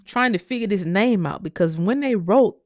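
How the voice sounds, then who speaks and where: Casting: fervent woman commentator, mid-pitched, recorded dry and close. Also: expressive, moderate pace, darkish tone, no echo. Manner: fervent, preachy, repeats phrases, swears freely. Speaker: commentary channel host. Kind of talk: impassioned commentary monologue.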